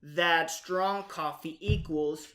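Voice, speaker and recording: A man from Puerto Rico co-hosting a podcast in casual conversation, with measured, deliberate phrasing. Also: a little high, steady tone, a bit bright. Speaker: podcast co-host. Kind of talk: casual scripted-topic chat.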